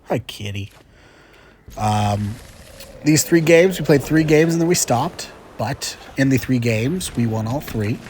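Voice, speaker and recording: A man's voice: mid-range voice, lively intonation, a little slow, a little mellow, a little hiss or hum. A chatty, upbeat man hosting casual gameplay commentary with frequent fillers, a little congested from a cold. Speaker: gaming channel host.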